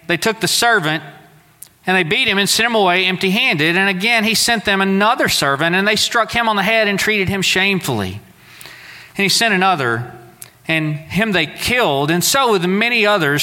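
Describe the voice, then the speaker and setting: A man's voice: sits high, bright, forceful tone. A man in his forties from Southeast Texas, preaching a sermon to his church in a folksy, conversational way. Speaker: pastor. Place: church sanctuary. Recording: long reverb of a church sanctuary.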